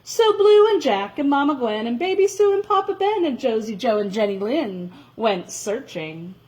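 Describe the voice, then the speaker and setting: A woman reading a children's story aloud in a dramatic, expressive way, drawing out words for emphasis. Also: fairly high pitch, moderate pace.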